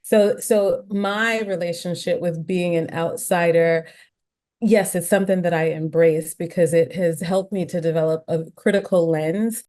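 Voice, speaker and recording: An American woman hosting a podcast, talking reflectively and thinking aloud with restarts and quick asides. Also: lowish voice, fairly even intonation, dark tone. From United States. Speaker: podcast host. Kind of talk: conversational interview chat.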